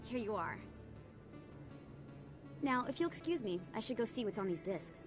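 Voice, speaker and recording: A woman's voice: on the high side, big pitch swings, moderate pace. An actress playing a keen, polite and businesslike female investigative reporter in a science-fiction video game. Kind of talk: scripted video game dialogue. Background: music.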